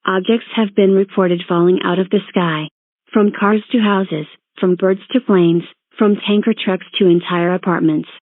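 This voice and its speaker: A woman's voice: medium pitch, steady tone, moderate pace, no room sound. Tinny phone-band woman announcing emergency alerts. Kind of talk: scripted emergency broadcast announcement.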